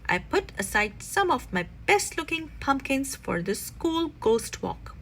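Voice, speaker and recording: A woman's voice: sits high, very animated, unhurried, a little hiss or hum, full character voice. A woman voicing an obliging male farmer bear in a storybook, in a friendly, folksy manner.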